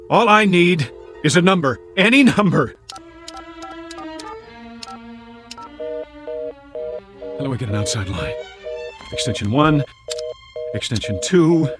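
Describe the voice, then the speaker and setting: Confused lonely airman, animated high-pitched voice. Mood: frustrated, urgent. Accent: American. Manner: halting, stammering, repeats words. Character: confused, lonely amnesiac Air Force man talking to himself. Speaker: lead actor. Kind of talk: dramatic radio play acting.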